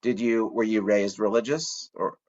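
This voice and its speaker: Male podcast host, lowish voice, hesitant questions, muffled lo-fi audio. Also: moderate pace. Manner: frequent soft back-channel "mm-hmm"s, hesitant questions. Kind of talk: casual interview questions.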